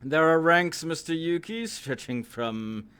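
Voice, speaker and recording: A man playing a stern, formal fantasy adventurers' supervisor in a roleplay, speaking deliberately with weighty pauses. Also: a little high, animated, fairly bright tone, smooth. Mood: businesslike. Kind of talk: improvised fantasy roleplay in character.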